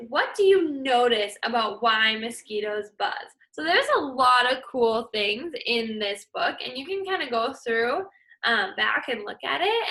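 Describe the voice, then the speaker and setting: A young adult woman teacher reads a picture book aloud, then gives young students a task in an encouraging way. Her voice is bright and a little high. She speaks plainly to children. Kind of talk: children's read-aloud and lesson.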